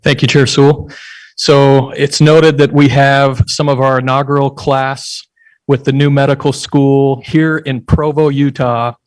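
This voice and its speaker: A man's voice: medium pitch, flat delivery, a little slow, clear, resonant tone, low-quality recording. A man speaking as a council vice chair, formally introducing guests at a meeting.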